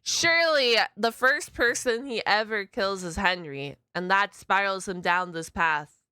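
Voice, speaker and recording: A woman's voice: mid-range voice, measured pace, bright, forceful tone, clear, resonant tone, no echo. A woman giving casual reaction commentary as a host, thinking aloud and hesitating, with many uses of "like".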